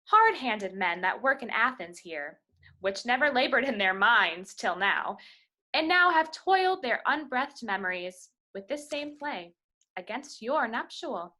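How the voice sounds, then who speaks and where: A woman's voice: fairly high pitch, animated, a little slow, pushed and bright, exaggerated voice. A woman playing a deferential but disdainful court master of revels, reading Shakespearean verse at an amateur table read over video call. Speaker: amateur reader in a play.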